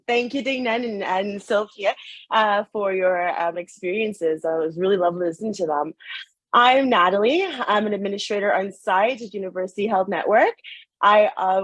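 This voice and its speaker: A woman's voice: medium pitch, no room sound. A middle-aged woman from Saint Lucia, a nurse and hospital administrator, giving a friendly, conversational talk on a video call.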